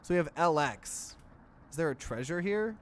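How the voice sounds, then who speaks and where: A man's voice: a little high, expressive, moderate pace, slight background noise. A man hosting a let's play, reading visual novel narration aloud and adding casual commentary. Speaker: gaming let's play host.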